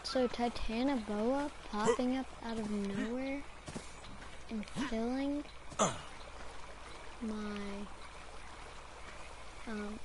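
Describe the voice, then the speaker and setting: A woman talking over her gameplay in an unhurried, rambling way, half to herself. Her voice is hoarse and worn from a throat infection. A clear layer of noise sits under the recording. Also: on the high side. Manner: rambling, talks to herself while playing. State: hoarse, recovering from strep throat. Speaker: gamer. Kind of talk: casual gameplay commentary.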